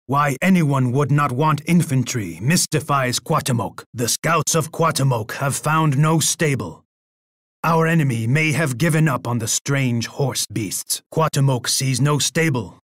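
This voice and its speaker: A man acting a proud, bloodthirsty Aztec emperor for a video game, with a husky voice and an imperious, boastful manner. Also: mid-range voice, a little slow, a bit bright, no room sound, studio quality. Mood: scornful. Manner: imperious, boastful, speaking of himself in third person. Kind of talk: video game character voice lines.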